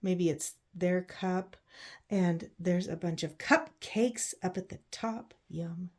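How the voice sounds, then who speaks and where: A woman reads a children's picture book aloud, pausing to explain simply and put questions to her young listeners. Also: lowish voice. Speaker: read-aloud storyteller for children. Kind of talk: picture book read-aloud with chatty asides.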